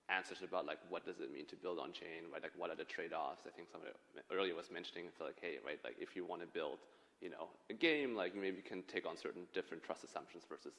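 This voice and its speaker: A man's voice: deep voice, gravelly, fairly bright tone. A man answering on a conference panel, rambling and hedging with lots of fillers. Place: conference stage in a large hall. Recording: long reverb of a large hall.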